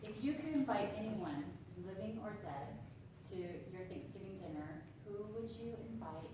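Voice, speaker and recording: A woman's voice: mid-range voice, animated, moderate pace. A woman hosting a club meeting's impromptu speaking round, giggly and hesitant with lots of fillers. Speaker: meeting host leading table topics. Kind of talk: casual hosting. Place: meeting room. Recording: echoey room, far from the mic, clear background noise.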